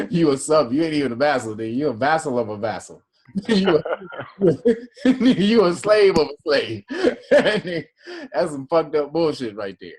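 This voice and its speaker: A man's voice: fairly high pitch, sing-song, measured pace, darkish tone, breathy edge. A man hosting a casual class, reading definitions aloud and explaining them in loose, slangy talk with profanity and laughter. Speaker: class teacher and host.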